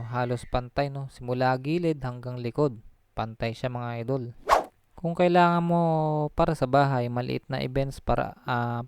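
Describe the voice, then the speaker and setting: A man's voice: mid-range voice, a little mellow, clear, resonant tone, dry recording, clean studio sound. A man talking through a casual explainer, chatty, full of fillers and restarts, dropping his voice on tag phrases. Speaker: channel host explaining audio gear. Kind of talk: casual explainer, voice-over on slides.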